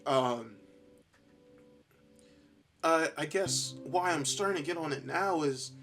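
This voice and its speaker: Guy podcasting by himself, worn out kinda high voice, stop and start rambling, faint music behind. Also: fairly bright tone. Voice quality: tired, worn-out voice. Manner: halting, rambling, self-reflective. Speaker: podcast host. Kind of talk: casual solo monologue, thinking aloud.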